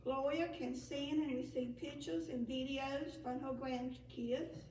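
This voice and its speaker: A woman with a high voice stands at a meeting podium and reads her prepared remarks, stumbling over a word now and then. The mic is some distance away, and the sound carries in an echoey room with faint ambient noise. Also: fairly even intonation, measured pace, a little mellow. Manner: reads aloud with small slips and restarts. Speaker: senior center director.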